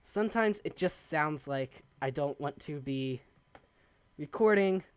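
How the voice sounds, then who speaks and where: A young man streaming a building game from his desk at home, chatting in a rambling, halting way, his voice raspy and hoarse from coughing. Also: fairly high pitch, animated. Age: young adult. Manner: rambling, halting, many ums. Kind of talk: casual live-stream chat while building.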